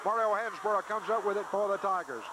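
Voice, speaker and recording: High-pitched radio sportscaster, man, very noisy. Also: fast. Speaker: radio play-by-play sports announcer. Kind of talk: live basketball play-by-play commentary. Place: crowded high school gym. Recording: noisy 1980s VHS-transferred local radio broadcast. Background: loud gym noise.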